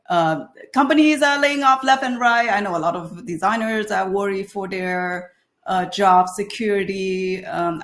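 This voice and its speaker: A woman in her thirties from Vietnam with a Vietnamese accent, giving a webinar talk as a design leader, with many fillers and restarts. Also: fairly low pitch. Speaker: guest speaker, design leader.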